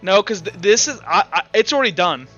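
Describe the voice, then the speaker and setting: Male game master, slightly high and very bright voice, blunt and sweary, casual tabletop session. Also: animated, fairly quick, muffled recording. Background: faint music.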